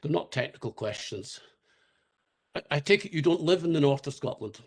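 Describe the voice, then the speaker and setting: A man questioning a witness at a public inquiry in a polite, deliberate way. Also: on the high side, animated, slightly rough. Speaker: advocate cross-examining. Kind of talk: cross-examination.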